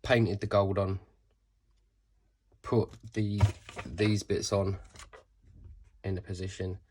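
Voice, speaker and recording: A man's voice: low voice, flat delivery, takes it slowly, darkish tone, a little hiss or hum. A man with a stuffy nose from a cold, casually rambling through a how-to tutorial and swearing now and then. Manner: rambling, swears casually, corrects himself mid-sentence. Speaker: sneaker customizer.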